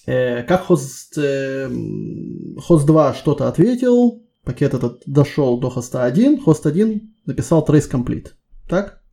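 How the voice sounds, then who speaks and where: A man's voice: mid-range voice, lively intonation, takes it slowly, creaky, warm tone. A man conducting a spontaneous technical job interview, asking probing questions one step at a time.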